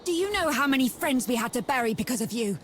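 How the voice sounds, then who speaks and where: An actress playing a bitter, unforgiving soldier in a video game, confrontational and full of contempt. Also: female voice, high voice, animated, fast talker, a little hiss or hum. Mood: accusing. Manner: scornful, confrontational.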